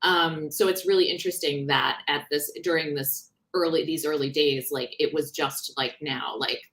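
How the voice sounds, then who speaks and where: A woman author talking in a conversational interview, explaining things in an animated, informal way. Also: sits low, moderate pace. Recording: roomy, echoing sound.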